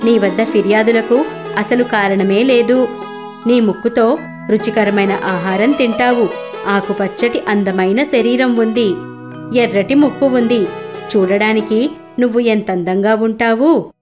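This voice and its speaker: Crow, animated moral tale: female performer, discontented, longing bird with a pleading manner over music. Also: on the high side, measured pace, lightly acted. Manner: complaining, pleading.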